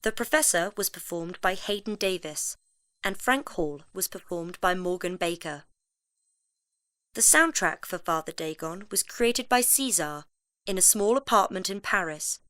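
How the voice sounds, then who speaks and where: Woman doing podcast outro credits, slightly low voice, really dry close sound. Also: expressive, normal speed, a bit bright, no echo. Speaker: credits announcer. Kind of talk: scripted podcast credits read.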